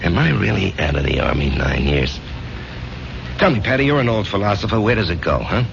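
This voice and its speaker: Low-voiced haunted American war veteran. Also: male voice, animated, brisk, creaky, slight background noise. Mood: wistful. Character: haunted thirtyish war veteran turned weary salesman. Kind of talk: 1970s radio drama acting. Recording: muffled old radio broadcast.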